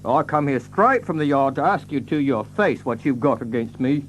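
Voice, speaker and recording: Actor as a working-class London cabbie, noisy hum. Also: male voice, medium pitch, expressive, moderate pace, lightly acted. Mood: defensive. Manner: hesitant, colloquial, ungrammatical. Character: plain-spoken working-class London cab driver, wary then chummy. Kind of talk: audio drama acting.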